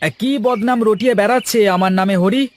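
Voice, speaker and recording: A man voicing an excitable, vengeful farmer, an elder brother in a cartoon fairytale, with emphatic delivery. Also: high voice, fast talker, lightly acted. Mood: indignant, vengeful. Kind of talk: animated moral fairytale dialogue. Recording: music behind the voice.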